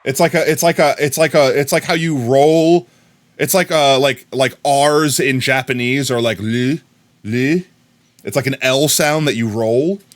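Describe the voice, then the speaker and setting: An American man chatting casually on a live stream, rambling and bursting into excited shouts of thanks to viewers. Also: mid-range voice, measured pace. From United States. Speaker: livestreamer.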